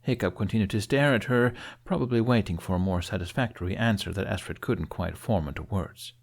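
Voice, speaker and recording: A man reading scripted fiction as an expressive audiobook narrator. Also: on the low side, brisk, gravelly.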